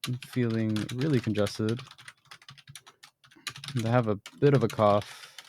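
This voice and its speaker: A young man casually walking through a software demo, explaining as he goes, with frequent ums and throat clearing. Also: lowish voice, steady tone, a little slow, slight background noise. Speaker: software developer demoing his bot. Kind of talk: screen-recorded software demo.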